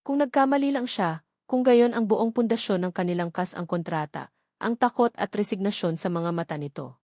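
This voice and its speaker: A woman's voice: medium pitch, moderate pace, darkish tone, no echo, clean studio sound. A woman reading a scripted romantic drama audiobook as its narrator.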